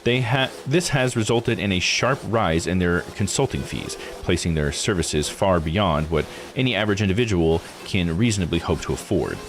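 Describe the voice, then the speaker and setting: A man's voice: low voice, normal speed, hardly any pauses, slight background noise. A man streaming a visual novel, reading text aloud and adding casual commentary. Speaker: game streamer. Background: music behind the voice.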